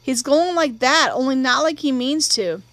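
A woman's voice: high voice, normal speed, a bit bright, dry recording. A woman voicing a worried, earnest young boy in an audiobook, with Southern country dialect.

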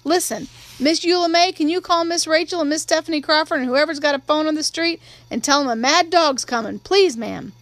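Woman acting out a stern, high-voiced, alarmed housekeeper. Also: lively intonation, moderate pace, fairly bright tone, no echo. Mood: urgent, alarmed. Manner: emphatic folksy Southern dialect.

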